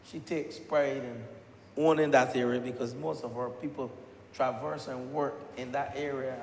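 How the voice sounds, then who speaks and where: A man with a Barbadian accent giving a deliberate parliamentary speech, stressing each site he names. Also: medium pitch, a little hiss or hum. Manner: deliberate address with rising emphasis on listed sites. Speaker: member of parliament. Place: parliament chamber. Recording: echoey chamber with long reverb.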